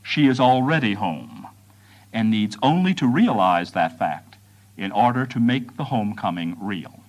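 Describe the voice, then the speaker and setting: A man's voice: on the low side, very animated, rough recording. Man lecturing with widely swinging intonation. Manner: measured, deliberate phrasing with emphatic pauses. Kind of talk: prepared public lecture.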